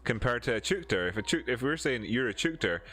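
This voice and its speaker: A man's voice: medium pitch, expressive, a little fast, sharp tone. A man with an Edinburgh Scottish accent, chatting casually on a live stream, answering chat and explaining charts with lots of fillers and restarts. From Scotland. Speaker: crypto streamer.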